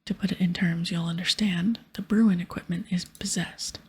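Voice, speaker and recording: A woman voicing a bossy, sarcastic male pirate captain from a game in a breathy whisper. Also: lowish voice, fairly even intonation, moderate pace. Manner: brusque, sarcastic, teasing. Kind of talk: whispered game dialogue reading.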